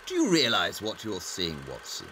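Casting: haughty analytical detective for audio play; imperious, crisp-minded, very animated intonation. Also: male voice, lowish voice, moderate pace, noisy background. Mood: imperious, sardonic. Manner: precise, imperious, sardonic.

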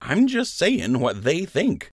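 Brief: man playing a cheeky young rabbit pal, pitched up high, playful and lively, dry close sound. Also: takes it slowly, no echo, heavily acted. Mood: teasing. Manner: smirking, playful ribbing. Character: teasing young male rabbit friend.

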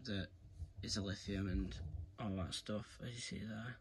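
A man's voice: on the low side, steady tone, unhurried. A man giving a casual, hands-on tutorial, talking informally to his viewers as he works. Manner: informal, addresses viewers as "guys". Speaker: video creator giving a tutorial. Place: indoors, carpeted room. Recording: clear background noise, handling clicks.

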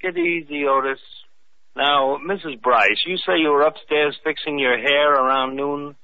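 An actor playing a brisk, skeptical police lieutenant, in 1940s radio acting style. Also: male voice, fairly high pitch, close to an everyday voice. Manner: brusque, businesslike. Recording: tinny old radio recording.

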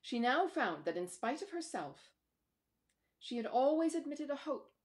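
Measured woman narrating a classic novel. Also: high voice, sing-song. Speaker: author reading aloud. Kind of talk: audiobook-style literary narration. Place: small room at home by a window.

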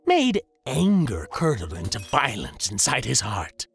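Gravelly clown monster, voice swoops way up and down, theatrical and fed up. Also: male voice, medium pitch, wildly swooping pitch, measured pace, a bit bright, faint music behind, exaggerated voice. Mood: lecturing. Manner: theatrical, exasperated lecturing. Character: weary menacing male clown monster, shapeshifter. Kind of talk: audio story voice acting.

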